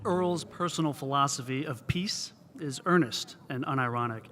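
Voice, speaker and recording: A man's voice: medium pitch, normal speed, over loud music. A man reading scripted narration as a radio reporter.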